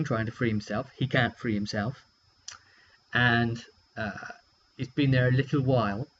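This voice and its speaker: A man's voice: medium pitch, a bit bright. Guy rambling wryly thru his tabletop rpg session recap, kinda dull lo-fi mic, bit of hum. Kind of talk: casual, animated storytelling of a roleplaying game session.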